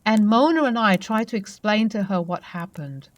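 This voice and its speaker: A woman narrating true ghost stories in a soft, conversational way. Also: medium pitch, lively intonation, normal speed, no echo. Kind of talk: storytelling narration.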